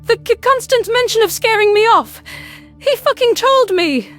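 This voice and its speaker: A woman's voice: very high voice, animated, normal speed, a bit bright, faint music behind. A woman voicing a tiny, frightened female alien rodent in an audio drama, indignant and tearful, stammering as she talks. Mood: tearful indignation. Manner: stammering, agitated.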